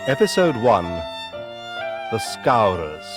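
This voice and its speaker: A man's voice: lowish voice, big pitch swings, slow. Male announcer over string music. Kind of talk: scripted radio drama credits announcement.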